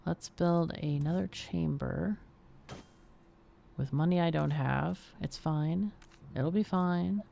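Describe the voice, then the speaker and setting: A woman's voice: deep voice, takes it slowly, vocal fry, pauses often, slight background noise. A woman hosting a casual game livestream, exclaiming and talking to herself as she plays. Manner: expressive, exclaiming, talks to herself while playing. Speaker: game streamer and host. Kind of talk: casual livestream gameplay commentary and chat.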